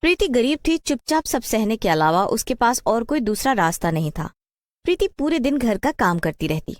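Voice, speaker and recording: Female storyteller, scripted narration, high-pitched voice, dry close recording. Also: sing-song, normal speed, no echo.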